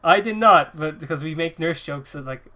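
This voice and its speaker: A man's voice: fairly high pitch, moderate pace, pushed and bright. A man in his early twenties hosting a podcast with a cold, rambling, laughing and swearing freely. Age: early 20s. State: sniffly with a cold. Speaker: podcast host. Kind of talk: casual podcast chat.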